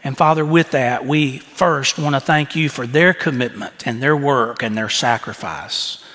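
A man's voice: medium pitch, unhurried, fairly bright tone. Earnest male pastor praying in church. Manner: earnest, prayerful. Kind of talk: congregational prayer. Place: church sanctuary. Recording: long church reverb.

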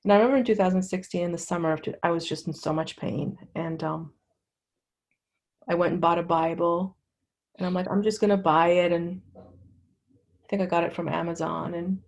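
A middle-aged woman from Lebanon giving candid personal testimony as an interview guest, in a halting, conversational way. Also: fairly low pitch, fairly even intonation.

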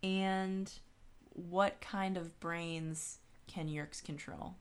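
A woman's voice: lowish voice, fairly even intonation, drawn-out words, clear, resonant tone. A woman co-hosting a podcast in a casual, science-minded discussion, reasoning aloud with questions and checking for agreement. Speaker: podcast co-host.

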